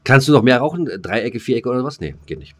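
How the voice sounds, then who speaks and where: A man's voice: mid-range voice, very animated, fast talker. A man hosting a podcast in casual, joking banter, rambling colloquially and often repeating his words. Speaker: podcast host.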